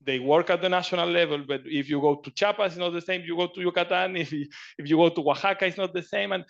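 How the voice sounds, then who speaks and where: A man's voice: high-pitched, fast talker, gravelly, highs cut off. A man from Chile speaking earnestly in a meeting discussion, often repeating and restarting his words. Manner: earnest, repetitive, searching for words. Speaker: panelist.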